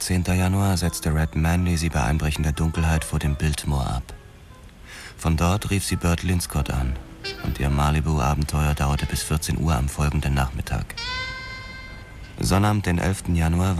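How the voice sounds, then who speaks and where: Male detective narrator for noir radio drama: extremely low voice, measured, factual, grim, over clear music. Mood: grim, focused. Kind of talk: hard-boiled crime radio play narration. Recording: music under the voice.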